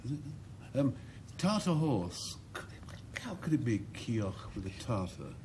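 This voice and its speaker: A man's voice: mid-range voice, big pitch swings, slow pace, noisy background. A man captaining a team on a panel word game, drily joking and playful. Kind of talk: comic bluffing banter.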